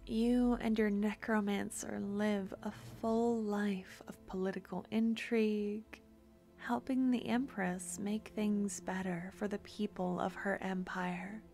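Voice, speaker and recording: Slow whispering woman narrator. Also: mid-range voice, steady tone, vocal fry, faint music behind. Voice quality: soft whispering. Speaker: ASMR narrator. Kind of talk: scripted ASMR narration.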